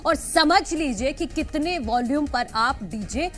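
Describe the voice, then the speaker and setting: A woman's voice: sits high, animated, measured pace, fairly bright tone, faint music behind. A woman presenting the news as a television anchor.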